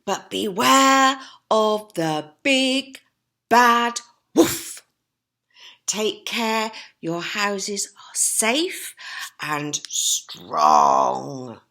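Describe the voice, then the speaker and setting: A woman voicing a firm, cautioning mother pig from a storybook in a loud, drawn-out theatrical way. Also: mid-range voice, expressive, gravelly, pitched above the performer's own voice, heavily acted. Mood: firm warning.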